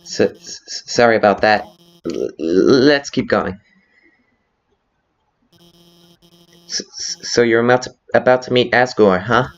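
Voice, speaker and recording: A man's voice: mid-range voice, animated, lightly acted. A man voicing a nervous, guilt-ridden scientist with a heavy stutter in a fan dub of a video game. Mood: nervous, halting. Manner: heavy stutter, halting, anxious. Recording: slightly dull lo-fi audio.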